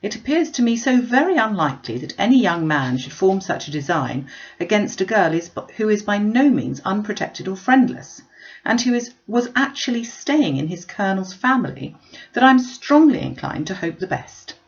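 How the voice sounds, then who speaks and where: Reasonable gentleman uncle read by a woman, animated intonation. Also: mid-range voice, normal speed, muffled recording. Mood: reassuring. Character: reasonable, hopeful middle-aged gentleman uncle.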